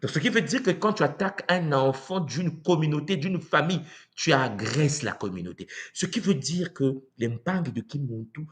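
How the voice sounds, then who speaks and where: A man from Congo giving a live talk to viewers, animated and rhetorical, often asking "n'est-ce pas?" and acting out quoted voices. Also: a little high, normal speed, slight background noise. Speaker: speaker on spiritual tradition. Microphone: livestream mic.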